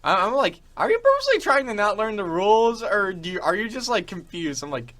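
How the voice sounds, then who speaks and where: A man's voice: high-pitched, sing-song, brisk, a bit bright. Young male host, stop-start casual chat. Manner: informal, stop-start, repeats words. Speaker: show host.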